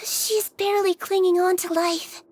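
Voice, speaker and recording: A woman voicing a small, childlike Melusine head nurse in a video game, gentle, earnest, polite and measured. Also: very high voice, steady tone, slight background noise. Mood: wistful. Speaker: nurse and doctor character.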